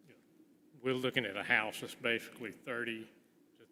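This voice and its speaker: Bright-voiced man in an echoey hall. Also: mid-range voice, steady tone. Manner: halting, pausing mid-sentence. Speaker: contractor speaking at a public hearing. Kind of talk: informal public testimony. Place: large indoor meeting room. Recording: echoey meeting hall with clear background noise.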